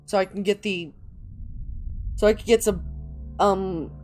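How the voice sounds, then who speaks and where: A woman's voice: medium pitch, steady tone, unhurried, a little mellow, soft background music. A woman hosting a gaming video, reading in-game texts aloud and commenting casually as she plays.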